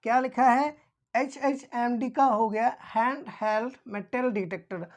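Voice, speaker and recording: Lady teacher, kinda high voice, explains stuff step by step and repeats the terms. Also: steady tone. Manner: repeats key terms, dictating slowly as if writing them out. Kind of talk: explanatory lesson for security guards.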